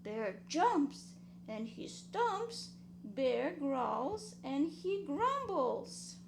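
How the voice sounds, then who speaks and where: A woman's voice: high-pitched, very animated, very slow, gravelly, background noise. A woman reading a children's story aloud in a rhythmic, dramatic sing-song way. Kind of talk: expressive children's read-aloud.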